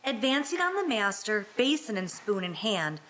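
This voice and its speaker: Bright, lively high-voiced woman announcer. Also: normal speed. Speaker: narrator and announcer. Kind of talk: scripted radio announcing and narration.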